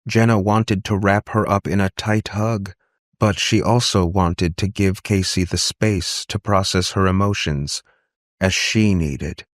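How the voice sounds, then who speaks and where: A man's voice: low-pitched, steady tone, unhurried, dry recording, studio quality. A man reading scripted fiction as an audiobook narrator.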